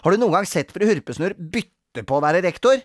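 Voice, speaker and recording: A man's voice: high voice, animated, no room sound, studio quality, pitched above the performer's own voice. A man voicing a grumpy, bossy young girl who plays strict teacher in a children's story, snappish and commanding. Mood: bossy, scornful.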